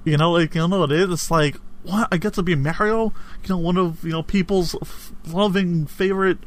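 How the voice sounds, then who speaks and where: A man's voice: high voice, steady tone, a little fast. A man co-hosting a casual podcast, chatting loosely and swearing freely. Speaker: podcast co-host.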